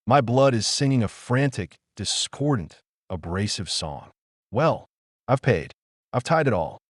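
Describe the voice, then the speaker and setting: A man's voice: lowish voice, no echo, clean studio sound. A man playing a deranged, pious, controlling middle-aged father in a scripted audio drama monologue, sanctimonious and coldly self-justifying in short emphatic phrases. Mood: strained, labored.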